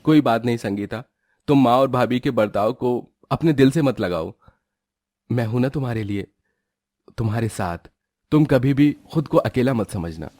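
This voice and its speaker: Caring young husband, played by a man, mid pitch, dry sound. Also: animated, normal speed, no echo, natural voice. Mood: comforting. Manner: gentle, reassuring. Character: kind, supportive young husband.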